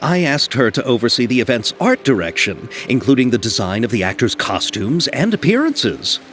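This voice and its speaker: A man's voice: medium pitch, very animated, moderate pace, fairly bright tone, a little hiss or hum. A man playing an earnest, flustered young film director in a video game, polite and a little hesitant. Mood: friendly, explaining. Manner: polite, hesitant, nervously apologetic.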